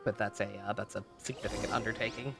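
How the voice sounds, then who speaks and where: Woman game streamer, low relaxed chat, rambling, joking, repeats phrases, game music behind. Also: fast talker, gravelly. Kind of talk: casual livestream chat.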